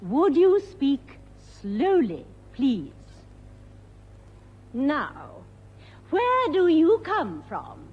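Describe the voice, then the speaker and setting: Slow, patient woman English teacher. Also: high voice, huge pitch swings, a little mellow. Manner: slow, patient, very clear enunciation. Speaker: presenter and teacher. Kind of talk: TV English lesson.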